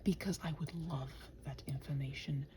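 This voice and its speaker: A woman's voice: extremely low pitch, fairly even intonation, moderate pace, mellow, a little hiss or hum. A woman rambling casually to her listeners, muttering to herself and slipping into whispers, with playful, sarcastic asides. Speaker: ASMR and audio channel creator. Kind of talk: casual rambling body-doubling chat to listeners.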